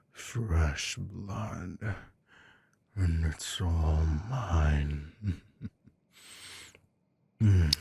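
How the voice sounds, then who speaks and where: A man voicing a predatory, dominant vampire lord in an ASMR audio roleplay, taunting slowly and often whispering close to the microphone. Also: darkish tone, dry recording, heavily acted. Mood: hungry. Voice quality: deep, gravelly, breathy.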